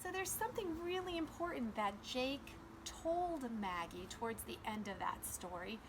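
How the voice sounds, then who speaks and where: A woman's voice: a little high, lively intonation, unhurried, noisy background. A woman preschool teacher reading and explaining simply and instructively to young children. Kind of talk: children's read-aloud and simple lesson.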